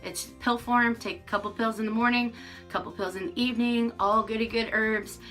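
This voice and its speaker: A woman's voice: a little high, steady tone, brisk, fairly bright tone, faint music behind. A woman in her forties giving a casual, frank vlog update to camera from her rural home, with lots of ums and restarts. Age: 40s. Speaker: vlogger.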